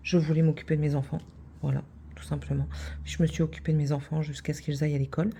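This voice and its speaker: A woman's voice: low voice, little pitch movement, fast talker, gravelly, mellow, a little hiss or hum. A middle-aged woman from France, hosting a craft channel and chatting casually, rambling with many fillers and restarts.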